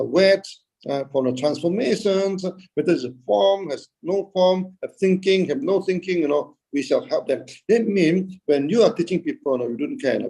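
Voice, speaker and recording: Gravelly, husky man giving a halting dharma talk. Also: on the high side, warm tone. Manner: halting, frequent "okay" and "uh", rhetorical questions answered himself. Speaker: Buddhist teacher. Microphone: video call.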